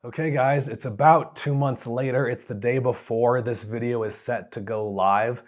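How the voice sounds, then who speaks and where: A man speaks with a hoarse voice left over from a bad cold. He gives a quick, relaxed update to his camera in plain words with the odd "uh". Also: mid-range voice. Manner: relaxed, plainspoken, a few fillers. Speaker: travel vlogger.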